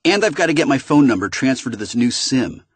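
A man's voice: medium pitch, big pitch swings, fairly quick, husky, dry recording, pitched above the performer's own voice. Teasing girlfriend on the phone, light and breezy, voiced by a man. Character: young woman, cheerful teasing girlfriend on phone.

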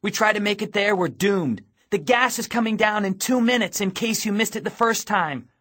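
Man voicing a brusque, higher-pitched, ruthless young woman. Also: a little slow, a bit bright. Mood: brusque, urgent. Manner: bossy, clipped, sardonic.

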